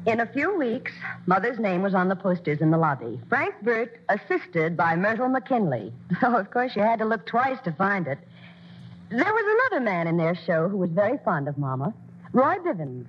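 A woman's voice: mid-range voice, very animated, normal speed, a little hiss or hum, natural voice. An actress in a 1940s radio drama playing an eager, modest young vaudeville performer who also tells her story fondly. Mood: fond storytelling. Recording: muffled 1940s radio recording with music.

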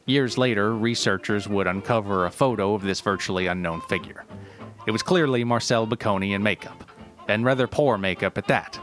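A man reading scripted documentary-style narration in a formal, deadpan manner. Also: lowish voice, a little fast, soft background music. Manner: deadpan, formal delivery of absurd history. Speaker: narrator and writer of a story podcast.

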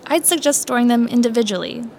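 An actress playing a courteous, careful coin appraiser who explains things politely and in measured phrases in an audio drama. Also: female voice, a little high, animated, dark tone, slight background noise. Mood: polite, explaining.

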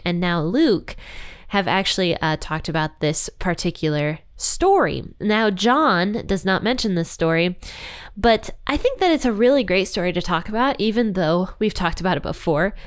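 A woman's voice: medium pitch, clear, resonant tone. A woman with a head cold, sounding congested, hosting a casual Bible devotional podcast and chatting directly to her listeners. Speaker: podcast host.

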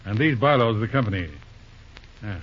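An actor playing a genial, shrewd older lawyer uncle in an old radio drama, speaking with hesitant uhs and easy chuckles. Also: male voice, lowish voice, a little fast, slightly rough. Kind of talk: 1930s radio drama acting. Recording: dull lo-fi old radio recording.

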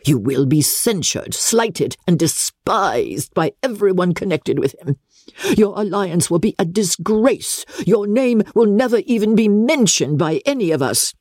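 A woman's voice: mid-range voice, animated, a little slow, a little mellow, no echo, studio quality. Haughty, swooping older noblewoman. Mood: threatening. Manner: pompous, declamatory, emphatic, formal. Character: haughty, imperious older aristocratic lady, indignant and domineering. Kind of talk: dramatic audiobook reading of classic literature.

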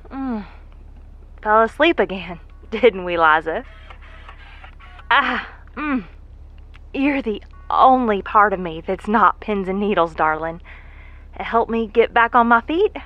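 A woman's voice: mid-range voice, animated, takes it slowly, long pauses, lo-fi sound. An actress playing a lone rover worker, a chatty, curious woman who mutters to herself in a folksy way in an audio drama. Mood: groggy, affectionate. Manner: folksy, muttering to herself, breathy sighs and hesitations.

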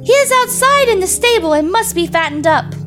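A woman voicing a wicked old witch, spiteful and greedy, with a shrill, gloating, cackling manner. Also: lively intonation, a little fast. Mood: harsh commanding. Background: soft music.

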